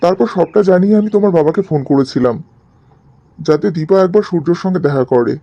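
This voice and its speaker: A man's voice: on the high side, expressive, fast talker, warm tone, muffled recording. A man playing an easygoing, affectionate young husband in a cartoon drama, coaxing and explaining. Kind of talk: animated cartoon drama dialogue.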